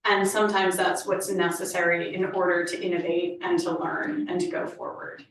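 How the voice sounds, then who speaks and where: A woman's voice: on the low side, little pitch movement, normal speed, a little hiss or hum. A woman speaking as a panelist, giving an earnest, reflective answer at a community event. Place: community center hall. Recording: echoey hall from far off.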